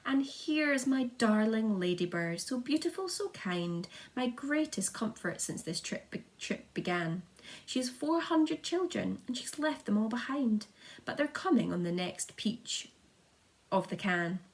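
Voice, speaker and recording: A woman's voice: fairly high pitch, big pitch swings, measured pace. A woman voicing an eager, polite young boy who proudly presents friends in sing-song storybook rhyme. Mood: fond, proud. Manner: sing-song rhyming delivery, showman-like.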